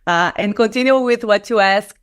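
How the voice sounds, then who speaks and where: A woman's voice: medium pitch, normal speed, smooth. Brazilian-accented woman explaining earnestly. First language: Portuguese. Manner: non-native English phrasing, earnest explaining. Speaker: guest, skin and stem cell researcher. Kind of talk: conversational interview, personal storytelling.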